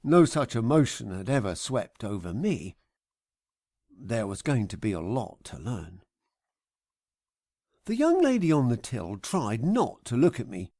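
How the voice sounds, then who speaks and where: Slow, expressive middle-aged male narrator. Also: mid-range voice, no room sound, studio quality. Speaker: author reading own audiobook. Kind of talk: scripted first-person comic narration.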